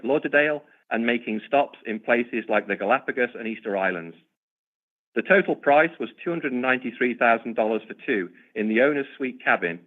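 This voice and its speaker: A man speaking as a company executive, giving formal, measured prepared business remarks. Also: medium pitch. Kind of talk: prepared corporate earnings remarks. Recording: narrow, tinny conference-call line.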